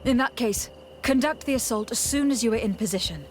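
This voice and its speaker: An actress playing a composed noble envoy and leader in a video game, speaking formally and with measured authority. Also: female voice, fairly high pitch, animated, lightly acted. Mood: composed, commanding. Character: noble female envoy, composed young leader giving orders. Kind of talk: scripted video game voice acting.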